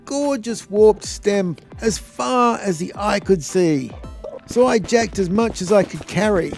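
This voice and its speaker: High-voiced Australian man, jokey gameplay narration. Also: a little mellow. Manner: jokey, blokey, informal. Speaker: video host. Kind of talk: scripted gameplay tutorial narration.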